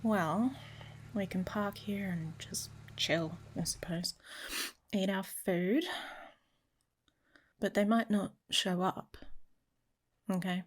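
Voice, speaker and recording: A woman playing a flirtatious adult werewolf woman in an intimate ASMR roleplay, murmuring slowly in a breathy, often whispered voice. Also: mid-range voice, fairly even intonation. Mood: casual, gently cautioning. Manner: slow, murmuring, with hums and questioning pauses. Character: flirtatious, teasing adult she-wolf woman, a werewolf lover.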